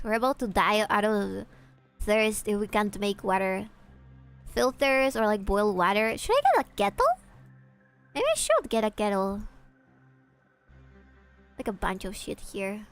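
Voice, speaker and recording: A woman's voice: a little high, vocal fry. A young woman from Peru whose first language is Spanish, chatting casually and swearing freely while streaming a game. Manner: chatty and animated, swears freely, reacts to chat.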